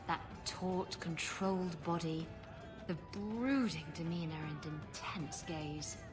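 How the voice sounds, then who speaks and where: A woman's voice: fairly low pitch, slow pace, music in the background. An actress playing a playful, bawdy female rogue with a teasing, suggestive manner in a fantasy game. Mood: sly, flirtatious.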